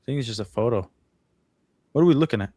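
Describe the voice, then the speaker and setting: A man's voice: mid-range voice, expressive, quick pace, a crowd in the background. A man for whom English is not his first language, co-hosting a livestream over a video call and explaining things at length in a casual, talkative way. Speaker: co-host.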